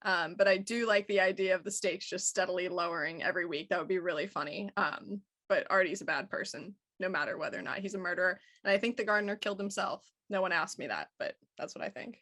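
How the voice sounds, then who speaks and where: A woman's voice: lowish voice, fast, hardly any pauses, a bit bright. A woman chatting casually as an actor guest, with a wry, teasing manner. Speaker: actor guest on cast panel. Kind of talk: casual chat.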